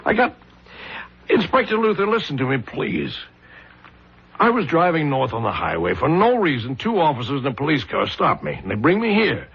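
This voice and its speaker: A man's voice: fairly high pitch, wildly swooping pitch, normal speed, vocal fry, breathy edge, exaggerated voice. An actor playing a flustered, indignant salesman wrongly accused, stammering and protesting in a 1970s radio drama. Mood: panicked. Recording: dull, lo-fi old radio recording.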